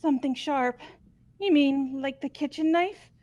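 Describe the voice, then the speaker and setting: A woman's voice: high voice, a little slow, darkish tone, muffled recording. A woman voicing a timid young girl student in a fan-dubbed mystery game, hesitant and stammering. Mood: timid, unsure.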